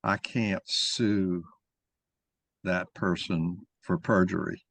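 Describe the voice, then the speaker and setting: A man arguing a point in informal discussion, halting and rephrasing as he goes as the moderator. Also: low-pitched, fairly even intonation, gravelly, fairly bright tone, no room sound. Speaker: moderator and main speaker of a mock-trial discussion.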